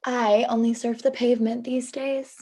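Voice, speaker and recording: Woman playing wry young assistant, higher-pitched. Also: flat delivery, moderate pace, warm tone, close to an everyday voice. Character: wry, guarded young female assistant with a dream. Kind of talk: acting in a table read.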